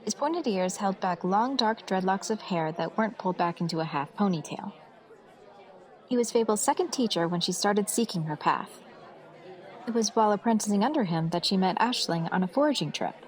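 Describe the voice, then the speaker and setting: A woman's voice: mid-range voice, animated, brisk. A woman narrates a scripted fantasy tale in a mid-range voice. Faint crowd ambience runs behind her storytelling. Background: festival crowd ambience.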